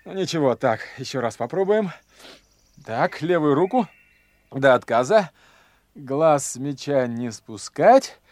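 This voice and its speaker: An actor playing an eager, flustered young golf fanatic in a radio play, hesitant and muttering to himself. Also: male voice, a little high, lively intonation, measured pace, darkish tone, no room sound. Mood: self-coaching, eager. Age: young adult. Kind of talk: Russian radio play acting.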